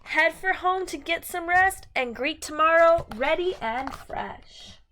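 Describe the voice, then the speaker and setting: A woman's voice: very high voice, animated, slow, sharp tone, slight background noise, low-quality recording. A woman teacher doing a read-aloud storytime for young children in a sing-song manner.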